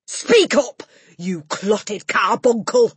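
A woman's voice: medium pitch, animated, slow, slightly rough, dry recording, voice pushed up, exaggerated voice. A woman voicing a furious, bullying giant of a headmistress who roars at her class. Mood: furious roaring. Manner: roaring, shouting.

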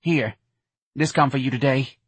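A man's voice: medium pitch, moderate pace, slightly rough, dry recording, voice pushed up, close to an everyday voice. A man voicing a plaintive, nagging older working-class mother with folksy, whining speech in an audiobook. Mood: nagging, suspicious.

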